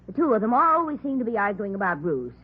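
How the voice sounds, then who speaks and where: A gushing, fretful spinster in her sixties for a vintage radio crime play. Her pitch swoops widely and her voice is a little husky. The old recording is dull and muffled. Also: sing-song, fast. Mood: surprised, flustered. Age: older. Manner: gushing, chatty, outspoken. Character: talkative, fretful maiden aunt in her early sixties. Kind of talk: 1950s radio crime drama acting.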